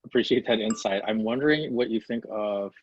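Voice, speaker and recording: Low, husky man panelist, thoughtful, over a dull call line. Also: brisk. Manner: thoughtful, hedging questions. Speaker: panelist in healthtech. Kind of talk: live online panel talk. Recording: dull lo-fi video call audio.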